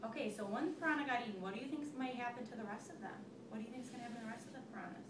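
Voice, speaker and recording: High-voiced woman reading aloud to a child. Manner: expressive storytelling, pausing to ask questions. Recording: echoey room, recorded from far off, noisy.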